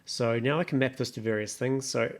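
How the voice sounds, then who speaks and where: A man's voice: medium pitch, brisk. A man hosting a casual music production tutorial, thinking aloud as he talks through each step in an exploratory way. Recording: electronic music playing behind voice. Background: electronic synth sounds and music.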